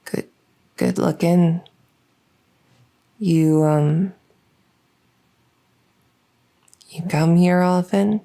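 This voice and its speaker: Female performer as flustered fledgling vampire, ASMR roleplay. Mellow, very low-key tone, low pitch, earnest and hesitant. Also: creaky, exaggerated voice. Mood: awkwardly flirty. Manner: halting, hesitant, stammering.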